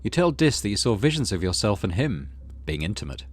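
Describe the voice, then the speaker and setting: A man with a slightly low voice reads and talks through a story-driven game. His intonation is very lively, moving between expressive storytelling and casual player talk. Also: fairly quick, breathy edge. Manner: expressive storyteller reading with asides. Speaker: gaming channel host. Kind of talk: reading game narration aloud with casual commentary.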